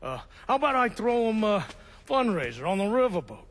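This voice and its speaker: An actor playing a crooked, fast-talking American mobster in a video game, crude, streetwise and defensive. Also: male voice, high-pitched, big pitch swings, fairly bright tone, heavily acted. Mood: scheming, eager. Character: crooked, fast-talking mobster, scheming younger-sounding brother of a boss. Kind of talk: video game cutscene acting.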